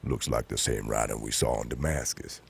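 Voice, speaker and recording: A man performs a big, grave, calm man for an audio drama. The bass is very deep and creaky. A noisy internet call carries it. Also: brisk, full character voice. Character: deep-voiced big man calmly observing ancient writing.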